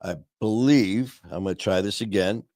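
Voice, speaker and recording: A man hosting a casual live stream, talking warmly to viewers in a rambling way with many fillers and restarts. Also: lowish voice, normal speed, no room sound, studio quality. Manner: friendly and upbeat, addressing viewers. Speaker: livestream host.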